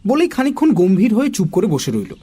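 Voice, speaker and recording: A man narrating a dramatised children's story in an expressive way, acting out puzzled lines. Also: high voice, quick pace, breathy edge.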